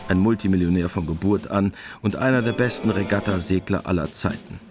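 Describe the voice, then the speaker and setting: A man narrating a literary radio drama in a matter-of-fact storytelling manner. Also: on the low side.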